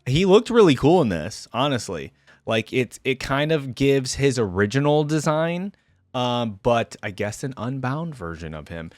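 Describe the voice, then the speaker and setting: Man, animated intonation, dry recording, casual game reaction commentary, informal, thinks out loud. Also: medium pitch, a little slow, no echo. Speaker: reaction video host.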